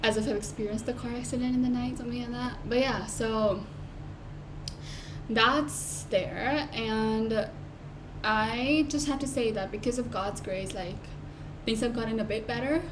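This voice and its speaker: A woman's voice: fairly high pitch, a little slow. An eighteen-year-old woman talking to camera in an unscripted, rambling way, full of "like" and "um" fillers and restarts. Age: late teens. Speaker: vlogger. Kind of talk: unscripted casual talk to camera. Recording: noisy home recording.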